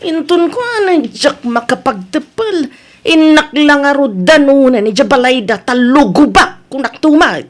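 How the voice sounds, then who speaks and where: An actress plays a nosy village woman in a radio comedy drama. Her voice sits high and she draws her words out slowly, chatty and full of teasing. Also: animated. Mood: indignant, scheming.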